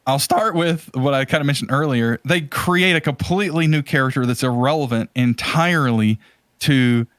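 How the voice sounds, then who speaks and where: A man's voice: mid-range voice, moderate pace, a bit bright, clean tone, no room sound. A man hosting a podcast in casual, opinionated film commentary, emphatic and rambling with repeated phrases. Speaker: podcast host.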